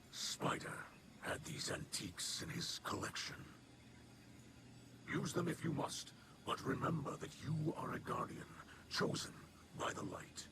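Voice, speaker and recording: Slow, solemn alien leader. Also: male voice, medium pitch, vocal fry, heavy background noise. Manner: grave, formal, halting phrasing. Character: solemn, noble alien elder, a grave fatherly leader. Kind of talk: video game character acting. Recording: loud game music behind.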